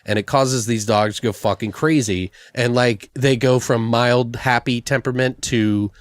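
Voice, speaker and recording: A man's voice: mid-range voice, fairly even intonation, normal speed, no echo, clean studio sound. A man co-hosting a podcast, brainstorming casually in a joking, foul-mouthed way. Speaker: podcast co-host.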